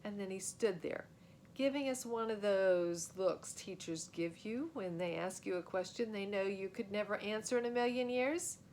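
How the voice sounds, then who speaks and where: A woman narrates a children's storybook in an animated read-aloud style, at an ordinary middle pitch. The recording carries clear steady noise with a low rumble. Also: moderate pace.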